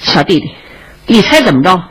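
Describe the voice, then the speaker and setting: A woman's voice: on the low side, sing-song, moderate pace, husky, exaggerated voice, low-quality recording. A woman voicing a brave young swordsman in a storytelling performance, chatty, coaxing and a little boastful. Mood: boastful, excited.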